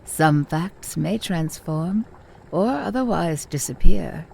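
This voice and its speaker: A woman playing a measured, cryptic lore-keeper in a fantasy audio drama, speaking in deliberate, formal storytelling. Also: lowish voice, expressive, gravelly, dark tone, a little hiss or hum, heavily acted. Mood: knowing, challenging. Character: measured, cryptic female lore-keeper challenging her pupils' histories.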